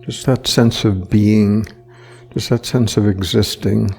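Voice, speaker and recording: A man guides a meditation in a very slow, even voice with a heavy creak in it. He speaks gently in short phrases and leaves long, unhurried pauses between them. The tone stays flat and soft-edged throughout. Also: lowish voice. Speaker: meditation teacher.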